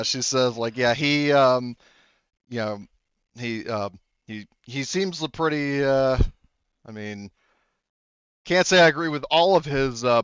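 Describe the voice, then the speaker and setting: Guy running a tabletop rpg, clean low voice, keeps stumbling and rephrasing, kinda muffled mic. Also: fairly bright tone, clear, resonant tone, no echo. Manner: rambling, halting, self-correcting, full of "you know". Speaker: game master. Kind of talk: improvised tabletop game narration.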